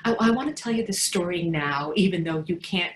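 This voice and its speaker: A woman acting a tender, excitable new mother in her thirties, telling her baby a story in an intimate, halting theatrical monologue. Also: on the low side, echoey room, a little hiss or hum, natural voice. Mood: tender, reflective. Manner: emotive, halting, intimate storytelling with dramatic swells.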